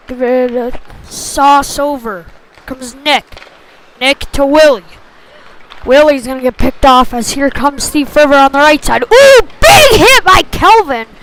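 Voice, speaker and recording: A woman's voice: sits high, poor audio. A woman doing live play-by-play commentary of youth ice hockey in short clipped phrases. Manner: short clipped phrases, excited on big plays.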